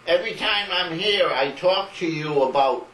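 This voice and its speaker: A man's voice: sits high, moderate pace, a bit bright. Rambling middle-aged man. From Rhode Island. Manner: patient, questioning, a bit rambling. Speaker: son interviewing his mother. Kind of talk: casual family interview. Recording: echoey home video, distant mic, hiss.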